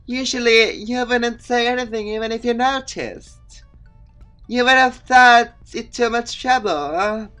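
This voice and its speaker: A man's voice: extremely high pitch, unhurried, pushed and bright, clear, resonant tone, soft background music, pitched above the performer's own voice, full character voice. A man voicing a prim, judgmental teenage schoolgirl who is easily huffy, in a visual novel game. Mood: neutral, probing.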